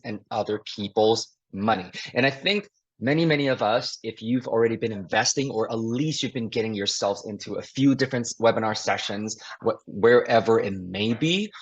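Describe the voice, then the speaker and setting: A man from Taiwan whose first language is Mandarin, hosting a live investing webinar over a video call in an upbeat, chatty, self-deprecating way. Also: on the low side. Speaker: investing mentor and webinar host. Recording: dull lo-fi video call audio.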